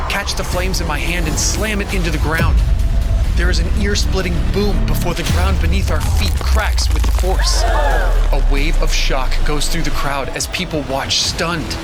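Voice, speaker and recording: A man's voice: on the high side, lightly acted. A man playing a young, newly powerful student hero, narrating in the first person in a dramatic, suspenseful, defiant manner. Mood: grave resolve.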